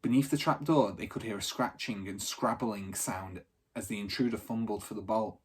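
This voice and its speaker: A man narrating a children's storybook aloud in an expressive, teacherly reading style. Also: on the low side, moderate pace, husky.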